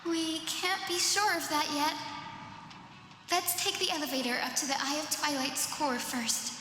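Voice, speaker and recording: Young female healer, high voice, earnest, compassionate, game dialogue, reverberant, music behind. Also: fairly even intonation, moderate pace. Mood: curious. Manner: earnest, compassionate, clearly enunciated. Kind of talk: anime-style game voice acting. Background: loud game music.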